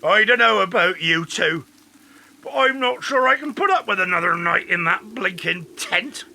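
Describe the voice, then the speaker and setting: An actor playing a weary, slow-witted, grumbling farmer in an audiobook drama, with a creaky, drawn-out delivery. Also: male voice, sits high, animated, bright, forceful tone, a little hiss or hum, exaggerated voice, rough recording. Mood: fed up. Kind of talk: audiobook radio-play acting.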